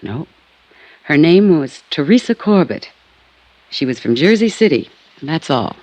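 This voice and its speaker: An actress playing a troubled American woman in her late twenties in a 1950s radio drama, speaking in a subdued, halting way. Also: sits low, big pitch swings, darkish tone, no room sound, full character voice. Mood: subdued, resigned. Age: young adult.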